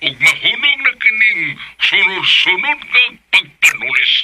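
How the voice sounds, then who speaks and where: A determined, upright father in a Cebuano radio drama. His voice is piercingly bright and slightly high, and he speaks with firm resolve. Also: sing-song, measured pace, full character voice. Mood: firm, insistent. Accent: Cebuano Filipino. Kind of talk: Filipino radio drama acting.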